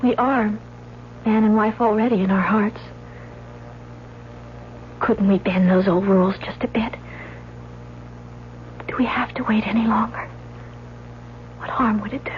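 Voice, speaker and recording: An actress playing a tender, eager young sweetheart in an old-time radio drama, soft and coy. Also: female voice, medium pitch, steady tone, a little slow, long pauses, lightly acted. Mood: coaxing. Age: young adult. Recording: dull vintage radio, faint hum.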